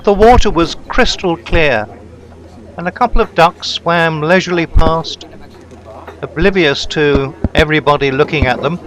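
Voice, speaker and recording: A man reading a scripted travelogue voice-over in a measured, descriptive storytelling way. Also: fairly high pitch, a bit bright, poor audio. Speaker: travelogue narrator. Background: background music.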